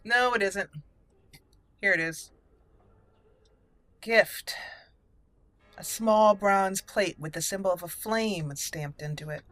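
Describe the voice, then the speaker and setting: Low-key woman narrating a game, music behind. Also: takes it slowly, pauses often, fairly bright tone. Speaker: let's play host. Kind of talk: casual gameplay commentary with roleplayed dialogue readings.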